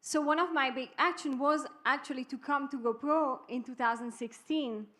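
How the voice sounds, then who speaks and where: High-pitched young woman giving a testimonial. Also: normal speed, sharp tone. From Europe. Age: 20s. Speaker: summit guest, network marketer. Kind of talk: testimonial storytelling.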